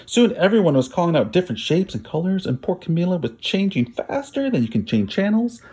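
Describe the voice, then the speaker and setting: A man's voice: high-pitched, big pitch swings, fairly quick, mellow, slightly rough. A man narrating a children's story expressively, with dramatic, emphatic phrasing. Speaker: storybook reader.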